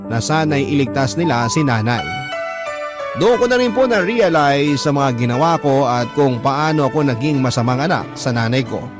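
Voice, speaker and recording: A male actor as a regretful Filipino son telling his own story like a letter to a radio host. His mid-pitched voice is sorrowful and earnest, with clear music behind it. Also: close to an everyday voice. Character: remorseful grown son confessing his story. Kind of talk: first-person letter narration, Filipino radio drama. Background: clear background music.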